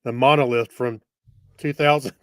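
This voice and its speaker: A man's voice: mid-range voice, fairly even intonation, normal speed, no room sound. A man co-hosting a podcast, chatting casually in a laid-back, joking manner. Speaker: podcast co-host. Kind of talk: casual chat.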